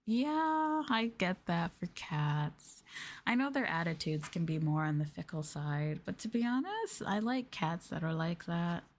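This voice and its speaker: A woman streamer chatting casually in a soft, affectionate, gushing ASMR style, close to the microphone and sometimes near a whisper. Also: on the low side, sing-song, unhurried. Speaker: ASMR streamer, VTuber host. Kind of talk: ASMR soft talking, casual chat with viewers.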